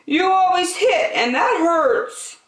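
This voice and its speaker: A shrill little pig from a children's storybook, scolding a friend for hitting, as a woman puts it on. The voice rides high and slow, with a roomy sound from some distance. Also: expressive, fairly bright tone, full character voice.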